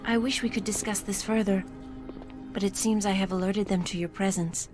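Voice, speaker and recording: An actress playing a poised, enigmatic female news anchor in a video game, speaking in a measured, formal, polite way. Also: mid-range voice, fairly even intonation, music in the background, lightly acted. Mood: regretful.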